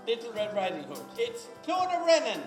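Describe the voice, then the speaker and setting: A man's voice: very high voice, wildly swooping pitch, moderate pace. Irish male presenter, ceremonial and emphatic live stage announcing, echoey hall with a noisy crowd behind. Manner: emphatic, ceremonial build-up to each name. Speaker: presenter announcing award recipients at an event. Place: large echoey event hall. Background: loud music and crowd noise.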